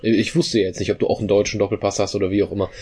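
A man's voice: lowish voice, little pitch movement, racing, darkish tone, slight background noise. A man in a casual group discussion, laying out his views thoughtfully and searching for words with many fillers.

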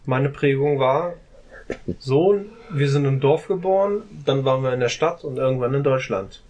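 A man's voice: mid-range voice, normal speed, darkish tone, dull audio. A man in a casual group discussion, talking at length and often ending phrases with a questioning "ne?". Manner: talkative, often adds a questioning "ne?".

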